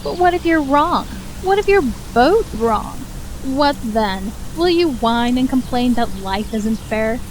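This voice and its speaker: A woman playing a mocking young mage, high-pitched. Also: animated, takes it slowly, slight background noise, low-quality recording. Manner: mocking, disdainful. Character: scornful young female mage taunting from within a mind.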